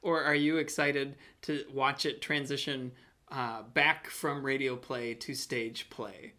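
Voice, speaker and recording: A man hosting a casual interview chat, speaking in a thoughtful, halting way. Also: mid-range voice, steady tone, pushed and bright. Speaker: podcast host and actor.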